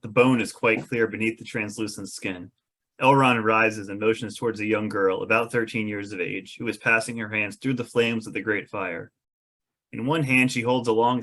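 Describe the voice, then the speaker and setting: A man reading scripted stage directions for a fantasy screenplay as narrator, in measured storytelling style. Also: on the low side, a bit bright.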